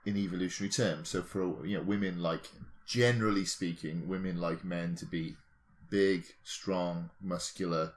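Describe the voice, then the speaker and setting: A man's voice: sits low, fairly even intonation, moderate pace. A man co-hosting a podcast, chatting casually with a wry, teasing, comic manner. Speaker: podcast co-host.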